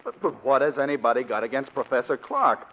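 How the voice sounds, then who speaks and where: A slightly dull vintage radio recording carries an earnest young American scientist. He has a mid-pitched voice and speaks politely and articulately, in classic radio drama style. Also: animated, fairly quick. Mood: incredulous, earnest. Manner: polite, earnest, well-spoken. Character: earnest educated young male scientist visiting his old professor. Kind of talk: 1950s radio drama acting. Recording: muffled old radio recording.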